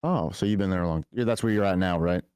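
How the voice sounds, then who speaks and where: A man's voice: low-pitched, quick pace, darkish tone, clean tone, dry recording, studio quality. A man co-hosting a podcast, laid-back and encouraging toward a guest. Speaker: podcast co-host. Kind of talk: casual podcast chat.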